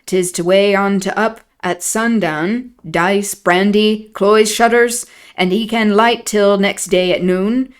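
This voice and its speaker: A woman doing a scolding old manservant in thick rustic dialect, drawn out slowly and with heavy weight on each word. The voice sits near a woman's middle pitch, with a sour, preachy grumble. It is an audiobook reading. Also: clear, resonant tone. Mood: grumbling. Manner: broad rustic dialect, slow and deliberate. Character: grumbling old male servant, pious and scolding.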